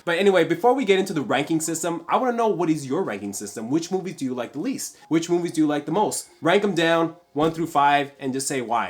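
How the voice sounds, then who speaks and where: A young man with a congested voice from a cold, chatting casually and enthusiastically as he reviews movies at home. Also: fairly high pitch. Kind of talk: casual talking-to-camera review. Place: his room at home.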